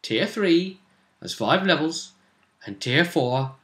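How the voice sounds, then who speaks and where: A man's voice: fairly high pitch, expressive, takes it slowly, a bit bright. A man chatting casually as co-host of a tabletop role-playing vidcast, going over the campaign. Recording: echoey room.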